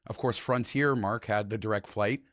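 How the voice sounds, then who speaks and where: Slightly hoarse man hosting a podcast. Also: fairly low pitch, normal speed, a bit bright, no echo, studio quality. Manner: chatty, enthusiastic storyteller. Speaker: podcast host. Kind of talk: casual travel podcast chat.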